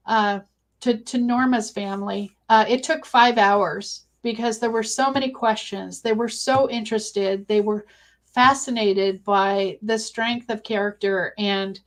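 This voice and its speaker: A woman speaking as a guest researcher, giving conversational interview answers in a thoughtful, halting way. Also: mid-range voice.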